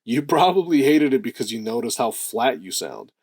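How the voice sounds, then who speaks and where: Deep-voiced man giving motivational advice. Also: normal speed, husky, no room sound. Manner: animated, stresses key words, draws out phrases. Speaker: self-improvement channel host. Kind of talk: motivational talk to camera.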